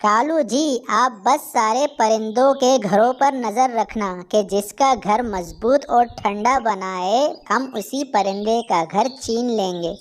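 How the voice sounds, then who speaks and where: Bossy high-voiced crow wife played by a woman. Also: slow pace, few pauses. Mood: scheming, demanding. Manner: scolding, commanding. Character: bossy, scheming female cartoon crow wife.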